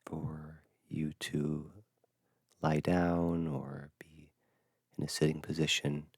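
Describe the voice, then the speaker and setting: Male meditation guide, extremely low voice, gentle and halting, close dry sound. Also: monotone, creaky, husky, no room sound. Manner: gentle, hushed, halting with long pauses. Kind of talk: unscripted dharma talk for guided meditation.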